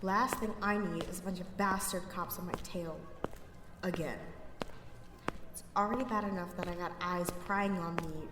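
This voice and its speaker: A woman's voice: lowish voice, fairly even intonation, normal speed, echoey room, big-room sound, noisy background, natural voice. A woman playing a cocky, sarcastic young pickpocket outlaw in a sci-fi audio roleplay, muttering wryly to herself. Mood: smug, sly. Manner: wry, sardonic, slangy, talking to herself.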